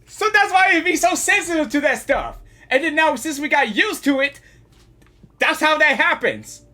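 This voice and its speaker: A woman giving casual live gaming reactions, excitable and repeating herself as she thinks aloud. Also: sits high, moderate pace.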